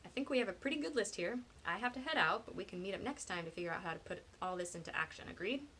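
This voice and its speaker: A woman's voice: mid-range voice, expressive, fast, sharp tone, a little hiss or hum. A woman voicing an eager, earnest teenage girl who rambles enthusiastically in an audiobook. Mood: eager, organizing.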